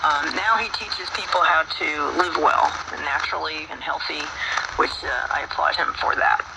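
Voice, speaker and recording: Gravelly high-voiced radio host. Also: male voice, normal speed, very noisy. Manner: folksy, preachy, with many fillers. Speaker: radio health show host. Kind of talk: casual talk radio monologue.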